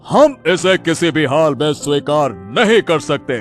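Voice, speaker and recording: A man playing an agitated, commanding king in a cartoon story, speaking in a loud, urgent, imperious way. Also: on the high side, animated, measured pace, faint music behind. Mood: outraged, resolute.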